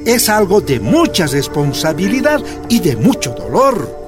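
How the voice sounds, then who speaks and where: A man's voice: high voice, huge pitch swings, unhurried, full character voice. A man playing a firm, encouraging older Bolivian father in a radio drama. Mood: earnest, firm. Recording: music behind the voice.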